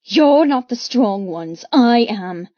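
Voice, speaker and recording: A woman's voice: on the high side, lively intonation, takes it slowly, dry recording, muffled recording. A woman voicing a defiant young captive heroine in an audiobook, with emphatic, impassioned delivery in short, spat phrases. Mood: seething defiance. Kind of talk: dramatic audiobook character dialogue.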